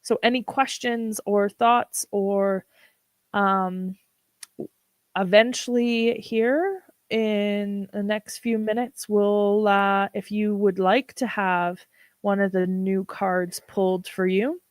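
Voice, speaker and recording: A woman hosting a livestream, talking casually with a froggy, hoarse voice and many ums. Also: mid-range voice, fairly even intonation, dry recording. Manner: unhurried, with frequent ums. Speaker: livestream host.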